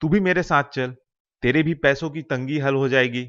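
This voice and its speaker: A man's voice: medium pitch, normal speed, fairly bright tone, smooth, lightly acted. Man playing earnest young villager, dull recording. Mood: earnest, persuasive. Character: ambitious, persuasive young village man, a loyal friend. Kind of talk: Hindi cartoon moral-story voice acting.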